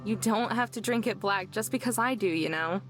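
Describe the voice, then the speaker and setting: A woman's voice: mid-range voice, moderate pace, lightly acted. A woman voicing a laid-back, caring young woman in a visual novel, with a casual, offhand delivery. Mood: relaxed, teasing. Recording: background music under the voice.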